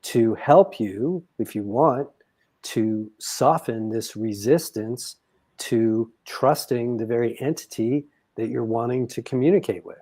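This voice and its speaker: Husky, mellow man coaching. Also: mid-range voice, measured pace. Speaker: spiritual coach. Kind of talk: conversational coaching.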